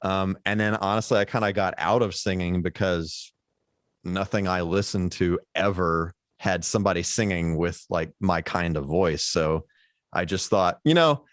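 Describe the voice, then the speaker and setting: A man with a low baritone voice talks as a guest on a casual podcast. He rambles with plenty of fillers and restarts. His voice sits low, and the recording is dry, with no room sound. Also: moderate pace, dry recording. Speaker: guest musician.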